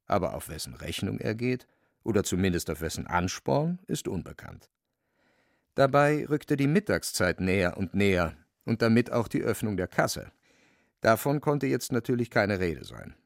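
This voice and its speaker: A man's voice: fairly low pitch, vocal fry, no room sound, studio quality. A man narrating a literary radio drama in a wry, dramatic storytelling manner.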